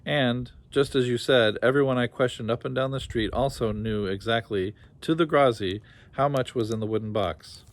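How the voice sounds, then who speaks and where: A man voicing a stern, methodical policeman in a story, with an official, deliberate manner. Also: mid-range voice, natural voice. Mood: puzzled, official.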